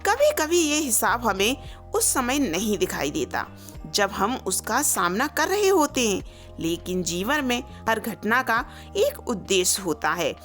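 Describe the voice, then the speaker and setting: A woman voicing a calm, wise male holy man who teaches life lessons in a storybook tale. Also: fairly high pitch, soft background music. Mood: calm, solemn. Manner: calm, preachy, measured.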